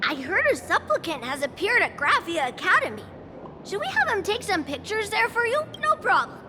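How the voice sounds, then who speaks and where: Very squeaky little mascot creature. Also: female voice, very animated, moderate pace, background noise. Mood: eager, deferential. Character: small, cutesy furry mascot creature, eager and loyal. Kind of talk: video game character acting. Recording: loud music behind. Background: loud game music.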